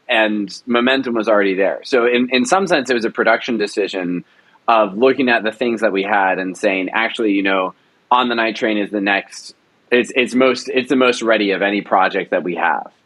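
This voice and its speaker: Male interview guest, producer type. Fairly deep, very dry sound, casual halting explanations. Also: a bit bright, dry recording.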